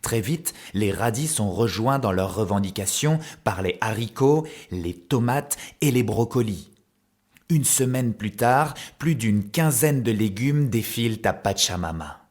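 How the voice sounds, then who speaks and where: A man's voice: fairly low pitch, lively intonation, unhurried, darkish tone. A man narrating a children's cartoon story as a playful storyteller in scripted style. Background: music, plucked guitar.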